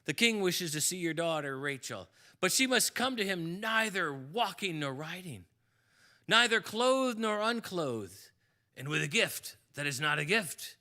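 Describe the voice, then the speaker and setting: A man doing a slow, stern knight. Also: high voice, animated, sharp tone, exaggerated voice. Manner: slow, deliberate, commanding. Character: stern armed male knight, blunt messenger.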